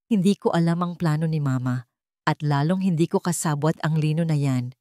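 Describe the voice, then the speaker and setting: A woman's voice: low voice, fairly quick, mellow, no room sound, clean studio sound, lightly acted. A woman playing a weary, remorseful man in a story drama, subdued and pleading. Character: weary, remorseful man, the estranged former husband.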